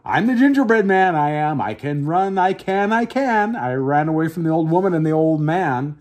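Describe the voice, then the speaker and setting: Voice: medium pitch, animated, normal speed, a little mellow, close to an everyday voice. A storyteller voicing a small boastful gingerbread man who chants his taunts in a sing-song.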